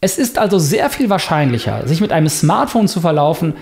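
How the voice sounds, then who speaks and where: A man narrating his own video in a scripted voice-over, reflective and lively, with a sponsor read. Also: a little high, a little fast, creaky, slight background noise. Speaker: YouTuber narrating a self-experiment.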